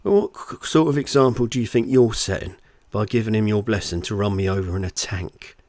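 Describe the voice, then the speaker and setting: A man's voice: lowish voice, steady tone, brisk, no echo, lightly acted. A man acts out a crude working-class husband from an audiobook, sneering and full of menace. He taunts and mocks in a male voice with a dry, close sound. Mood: mocking, accusing.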